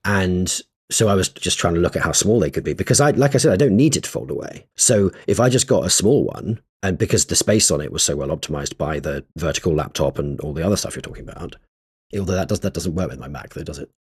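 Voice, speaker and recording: A man co-hosting a casual podcast and talking through his thoughts as he goes, pausing and restarting his sentences. His voice sits very low with a husky, breathy edge. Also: lively intonation. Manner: thinks aloud, hesitant, laughs often. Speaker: podcast co-host. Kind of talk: casual chat.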